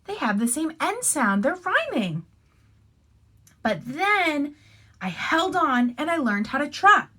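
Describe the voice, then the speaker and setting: Woman, fairly high pitch, very slow delivery, children's read-aloud, expressive, instructive, casual asides. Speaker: teacher reading a picture book aloud.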